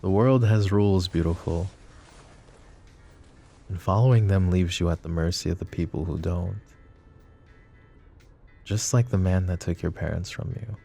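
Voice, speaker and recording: Mafia boss, played by a male actor: very low, dark, coaxing and possessive, for intimate ASMR roleplay. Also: soft background music, heavily acted. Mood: brooding, commanding. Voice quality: low, gravelly murmur. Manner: deliberate, coaxing, philosophizing.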